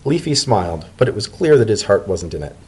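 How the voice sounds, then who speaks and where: A man's voice: lowish voice, very animated, a little fast, darkish tone, breathy edge. A man narrating a story as a dramatic reading.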